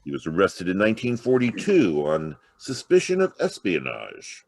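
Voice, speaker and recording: A man playing a sardonic, gruff police detective in a 1940s radio noir style, needling whoever he questions. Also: deep voice, huge pitch swings, moderate pace, no room sound, heavily acted. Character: sardonic, hard-nosed male police detective.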